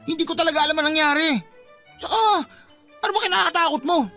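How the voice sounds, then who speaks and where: A man's voice: extremely high pitch, fairly even intonation, fast, pushed and bright, soft background music, exaggerated voice. An actor playing a teasing young man, a devoted mama's boy, in Filipino radio drama style. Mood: startled, defensive.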